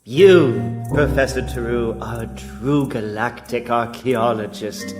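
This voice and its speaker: An actor playing a pompous, self-amused alien crown prince in a radio play, speaking formally and trailing off. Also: male voice, mid-range voice, slow, close to an everyday voice. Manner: formal, grandiose, trailing off mid-speech. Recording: music behind the voice. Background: music.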